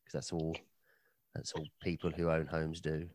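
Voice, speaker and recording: A man's voice: very deep voice, flat delivery, moderate pace, darkish tone, no echo. A man, co-hosting a podcast in casual chat, dry and joking, a bit hungover. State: slightly hungover. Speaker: podcast co-host.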